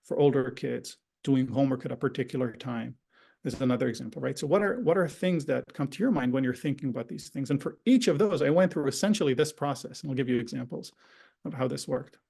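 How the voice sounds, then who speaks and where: A man's voice: medium pitch, fast talker, gravelly, dark tone, husky. A man whose first language is Hebrew, giving a conversational online parenting workshop talk over a video call and often checking in with "right?". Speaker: parenting educator.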